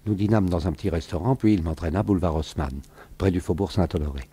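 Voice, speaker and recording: A very low, mellow male voice narrates a radio mystery as the hero's loyal friend. It is husky, measured and literary. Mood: reflective. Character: Lupin's loyal male friend, recounting the adventure. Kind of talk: scripted radio drama narration.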